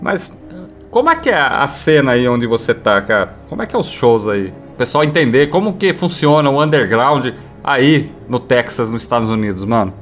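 A man's voice: medium pitch, very animated, normal speed, fairly bright tone, soft background music. A Brazilian man with a Brazilian Portuguese accent hosting a metal podcast, chatting informally and peppering his talk with slang tags. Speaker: podcast host. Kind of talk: casual interview chat.

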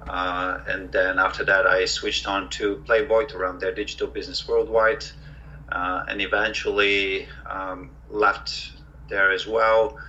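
A man's voice: sits low, level tone, measured pace, sharp tone, lo-fi sound, a little hiss or hum. A man in his forties from Bulgaria, a guest entrepreneur who talks reflectively in an interview with many ums and uhs. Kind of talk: conversational interview.

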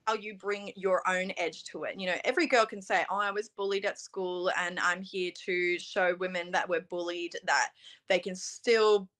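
A woman's voice: on the low side, steady tone, moderate pace, fairly bright tone. A young woman from Australia, a guest in a casual interview, talking in an earnest, chatty way full of "you know" and "um". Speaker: interview guest, pageant titleholder.

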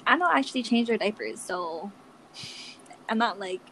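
A woman in her twenties from Hawaii, co-hosting a podcast over a video call, playful and quick to giggle. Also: mid-range voice, lively intonation, fairly bright tone, a little hiss or hum, low-quality recording. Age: 20s. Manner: playful, giggly, joking asides. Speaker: podcast co-host. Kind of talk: casual chat.

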